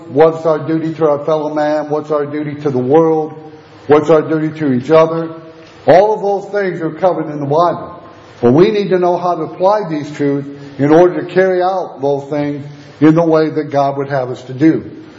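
A man's voice: on the high side, a little mellow. A man preaching a sermon while losing his voice, teaching steadily and reading scripture aloud. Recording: echoey lo-fi room recording.

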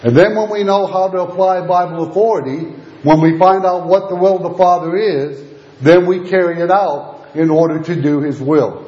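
A man's voice: sits high, moderate pace, dark tone, a little hiss or hum. A man preaching a sermon while losing his voice, teaching steadily and reading scripture aloud. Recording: echoey lo-fi room recording.

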